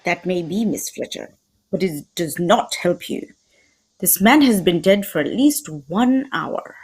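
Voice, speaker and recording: A woman playing a fussy, courtly Belgian male detective in a radio mystery, speaking formally and deliberately, with theatrical precision. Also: lowish voice, lively intonation, slightly rough. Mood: probing, stern. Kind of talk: old-time radio mystery script reading.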